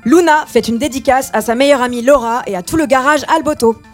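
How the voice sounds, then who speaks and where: A woman with a high voice reads cheerful local news in a chirpy announcer style. Faint music plays behind her. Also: brisk. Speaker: news presenter. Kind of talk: mock radio news bulletin.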